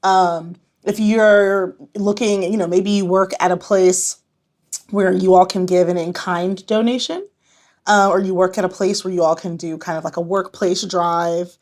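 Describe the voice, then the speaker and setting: A woman's voice: fairly low pitch, fairly even intonation, unhurried, a little mellow. Casual, conversational woman as podcast guest. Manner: informal, conversational. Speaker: podcast guest, nonprofit advocacy director. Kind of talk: casual interview chat.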